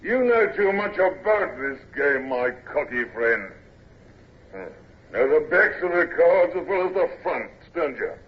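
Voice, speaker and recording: Drunken, sneering naval officer, surly bully, lively high voice, muffled vintage radio sound. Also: male voice, unhurried. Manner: sneering, blustering. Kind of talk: 1940s radio acting. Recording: dull lo-fi old radio recording.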